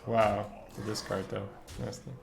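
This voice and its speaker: Low, gravelly young Dutch-speaking man. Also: flat delivery, measured pace. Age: young adult. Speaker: game streamer. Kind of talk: casual live gameplay commentary. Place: indoor room at a desk, streaming webcam. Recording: roomy echo, faint background noise.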